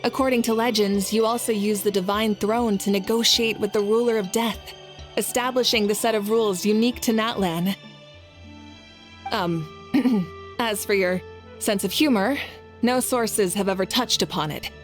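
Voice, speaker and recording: A woman voicing a courteous young leader and fire deity in a video game, speaking formally and sincerely in measured phrases. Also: medium pitch, faint music behind, lightly acted. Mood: polite, explanatory.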